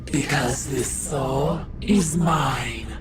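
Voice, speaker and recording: A woman playing an imperious, menacing possessed villainess who speaks in commanding, deliberate lines in a video game. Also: rumbling low, heavily acted. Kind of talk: theatrical scripted video game dialogue. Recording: loud music behind voice.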